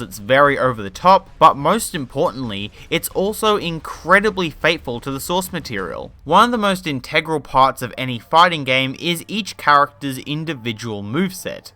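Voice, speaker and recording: A man's voice: mid-range voice, sharp tone. A man in his twenties giving a casual, emphatic film review commentary, swearing freely. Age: 20s. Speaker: movie reviewer.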